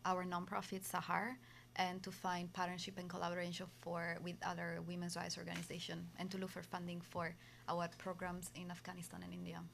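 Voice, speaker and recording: A woman's voice: lowish voice, monotone, brisk, creaky, a bit bright. A woman from Italy with an Italian accent, a nonprofit co-founder speaking as an interview guest in hesitant speech full of fillers.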